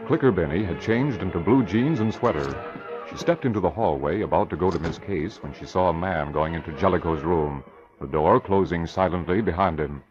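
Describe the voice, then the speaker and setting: A man's voice: low voice, a little fast, over music. A man narrating a scripted 1940s radio drama in dramatic style. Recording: muffled, noisy, crackly vintage radio recording.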